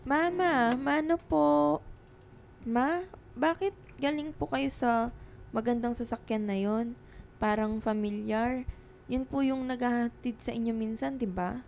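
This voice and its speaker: A woman's voice: on the high side, steady tone, unhurried, gravelly, a little mellow, a little hiss or hum. A Filipino woman playing a polite, curious young schoolgirl in a radio drama. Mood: curious, excited. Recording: music behind the dialogue.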